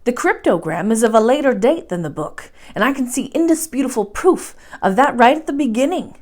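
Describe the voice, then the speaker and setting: Excitable elderly male scholar, emphatic and declaiming, with a lively, swooping delivery, read by a woman. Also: fairly high pitch, brisk, pitched above the performer's own voice. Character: excitable old male professor, obsessive scholar.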